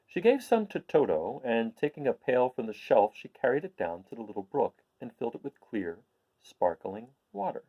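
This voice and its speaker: A man reading a storybook aloud as a host, in an expressive, explanatory manner. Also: medium pitch, moderate pace, highs cut off. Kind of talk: expressive storybook read-aloud with informal introduction.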